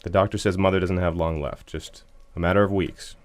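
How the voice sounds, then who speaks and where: Actor as deep-voiced scheming son. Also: male voice, fairly even intonation, brisk, natural voice. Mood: placating. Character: resentful, scheming adult son, placating his sister. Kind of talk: audio suspense drama acting.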